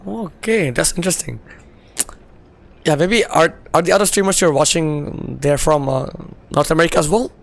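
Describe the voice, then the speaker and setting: Hoarse, gravelly, chatty male streamer. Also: fairly high pitch, normal speed. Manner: chatty, laughing, full of "you know" fillers. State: losing his voice, hoarse. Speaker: game streamer. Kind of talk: casual live-stream chat while gaming.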